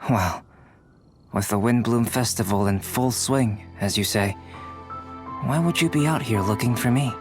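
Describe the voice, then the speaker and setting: A composed young alchemist, voiced by a man, speaks calmly in an even, mid-pitched voice. His delivery is measured, polite and thoughtful. Faint game music plays behind him. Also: natural voice. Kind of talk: video game dialogue.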